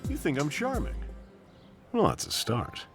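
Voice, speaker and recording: A man's voice: fairly high pitch, huge pitch swings, unhurried, fairly bright tone, faint music behind, exaggerated voice. A man playing a laid-back, confident, good-natured bounty hunter in a video game cutscene, talking casually. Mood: relaxed, playful. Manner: nonchalant, casual.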